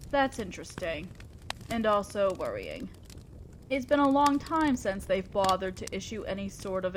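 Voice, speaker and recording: A woman's voice: high-pitched, a little hiss or hum. A woman playing an imperious, old-fashioned female demon lord in an audio roleplay, speaking formally and with condescending concern to the listener. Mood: irritated, disdainful. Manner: commanding, formal, measured, condescending yet concerned. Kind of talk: scripted roleplay monologue addressed to the listener.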